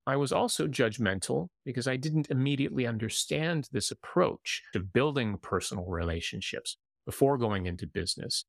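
A man hosting an interview podcast, speaking in a measured, thoughtful way as he reflects and then introduces his guest. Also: mid-range voice, creaky, no echo, clean studio sound. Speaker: podcast host and intercultural coach.

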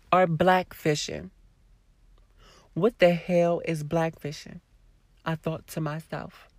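A woman YouTuber ranting casually to her viewers, sassy and blunt, with a hoarse voice and a lot of cursing. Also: sits low, no echo. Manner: sassy, blunt, swears freely, talks straight to viewers. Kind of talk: casual vlog rant to camera.

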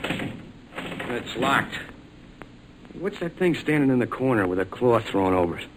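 A rough-talking American sidekick, blustering through slang with an excitable, hot-headed temper. It is 1940s radio adventure acting on an old recording with faint noise. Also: male voice, mid-range voice, a little slow, low-quality recording. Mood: frustrated.

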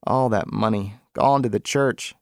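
A man's voice: on the low side, a little slow, dry recording. An outspoken wife voiced by a male reader in a short story, weary and envious. The talk is blunt, colloquial and complaining, in a dry recording.

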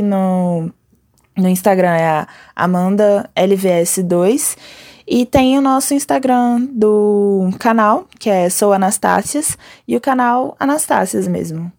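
A woman with a Brazilian accent speaks as a video creator in an informal, earnest way. Her voice sits at a middle pitch with a mellow, relaxed tone. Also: slow. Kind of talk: informal talk about own work.

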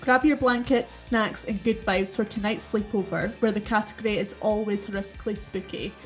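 A woman's voice: mid-range voice, brisk, poor audio. Laid-back woman co-host, self-mocking and giggly, faint music behind. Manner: relaxed, self-mocking, laughs a lot. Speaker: podcast co-host. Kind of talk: casual chat.

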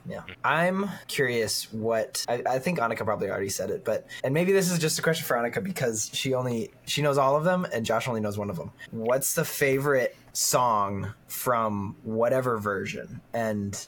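A man's voice: mid-range voice, animated, moderate pace, few pauses, fairly bright tone. A man hosting a podcast, talking casually in an earnest, rambling way. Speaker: podcast host. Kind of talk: casual discussion. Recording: noticeable background noise.

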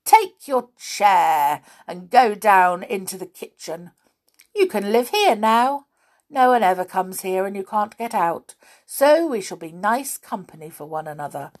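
A woman's voice: medium pitch, animated, slow pace. Woman as big male bird monster, quacky voice, smug, mocking, children's storybook. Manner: taunting, smug.

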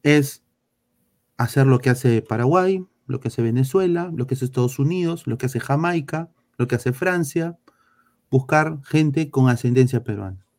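A man's voice: mid-range voice, moderate pace, a little mellow. A man from Peru with a Peruvian Spanish accent, ranting bluntly and crudely as a football talk host. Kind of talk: heated casual football talk.

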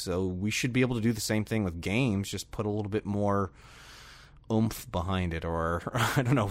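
A man in casual podcast chat, jokey and given to chuckling as he talks. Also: lowish voice. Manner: jokey, chuckles through his talk.

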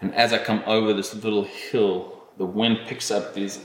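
A man's voice: fairly low pitch, level tone, moderate pace, reverberant, a little hiss or hum, rough recording. A man telling a travel story in casual podcast chat, rambling with fillers and restarts and swearing casually.

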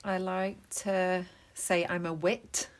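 A woman's voice: lowish voice, little pitch movement, slow. A woman co-hosting a podcast in casual chat, playful and often finishing her co-host's sentences. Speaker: podcast co-host. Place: sitting on the floor in a home play fort.

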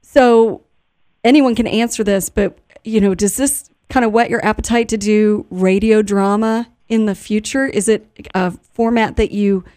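A woman's voice: medium pitch, fairly even intonation, moderate pace, darkish tone, no echo, studio quality. A woman hosting a radio show, interviewing in a conversational, effusive and complimentary way.

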